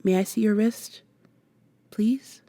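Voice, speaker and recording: A woman's voice: mid-range voice, fairly even intonation, slow, no echo. A woman playing an earnest, protective time traveler in an ASMR roleplay, speaking close to the mic in a hesitant, rambling, reassuring way. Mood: pleading, reassuring. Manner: hesitant, rambling, gently reassuring, some whispering. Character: earnest, flustered, long-winded female time traveler, protective. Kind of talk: ASMR roleplay, softly acted monologue to the listener. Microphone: close binaural microphone.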